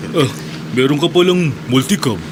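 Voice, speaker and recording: A man's voice: on the high side, unhurried, close to an everyday voice. A man acting as a courteous young husband in a radio play, speaking respectfully in a slightly raised voice. there is faint noise in the background. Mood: polite. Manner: polite, respectful.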